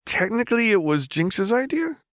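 Narrator guy as a laid back young dude, voice pitched up a bit, placating and hesitant, audiobook character. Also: big pitch swings, moderate pace, fairly bright tone, dry recording, studio quality. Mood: nervous. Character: easygoing young man, nervous but soothing.

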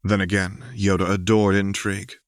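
A man's voice: low-pitched, level tone, normal speed, clean tone, no echo, studio quality. A man reading scripted fiction aloud as an audiobook narrator. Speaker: audiobook reader.

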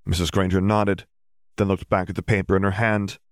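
A man's voice: deep voice, level tone, brisk, dry recording, clean studio sound. A man reading fiction aloud as scripted audiobook narration.

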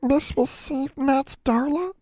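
A man's voice: very high-pitched, slow, warm tone, no echo, clean studio sound, pitched above the performer's own voice. A man voicing a small, timid, devoted male elf in an audiobook, with a tiny voice and broken servant-like speech. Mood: timid, pleading. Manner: speaks of self in third person, broken grammar. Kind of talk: audiobook character voice.

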